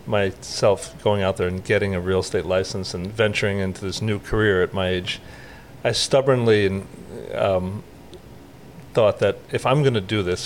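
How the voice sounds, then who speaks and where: A middle-aged man with a lowish voice talks in a relaxed, conversational way. He is a guest on a podcast interview, speaking as a realtor. Faint hiss sits behind him.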